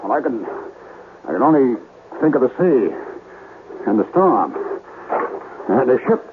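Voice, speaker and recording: An actor playing a nervous, reluctant owner of a haunted house in a 1970s radio mystery, wary and faltering. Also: male voice, medium pitch, sing-song, unhurried. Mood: terrified. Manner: wary, faltering, stammers when frightened. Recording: lo-fi noisy 1970s radio recording.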